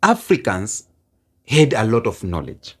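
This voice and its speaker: A man arguing his point in a casual debate chat, insistent and animated. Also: on the low side, measured pace, breathy edge. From Kenya. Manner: insistent, repeats "let me tell you", animated.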